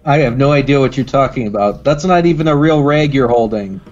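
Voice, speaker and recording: A man puts on an indignant lady for a spoof radio drama. The voice sits at a medium male pitch and sounds defiant and offended. The recording is slightly dull, with music. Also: a little fast, darkish tone, close to an everyday voice. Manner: affronted, defiant. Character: indignant, suspicious lady from a party. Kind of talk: improvised radio drama parody.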